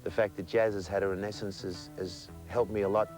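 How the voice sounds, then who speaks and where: Male poet interviewee, deep register, halting delivery, clear music bed. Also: level tone, a little mellow. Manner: halting, searching for words. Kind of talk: informal documentary interview.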